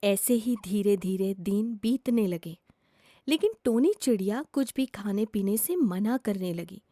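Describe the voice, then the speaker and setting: A woman's voice: mid-range voice, unhurried, mellow, dry recording, studio quality. A woman narrating a scripted children's story as a storyteller.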